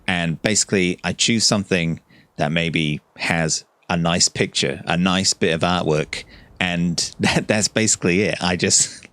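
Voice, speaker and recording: A man's voice: low-pitched, fairly bright tone, no room sound. A man giving casual let's play commentary as host, gushing and easily delighted, with muttered asides. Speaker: host of gameplay videos.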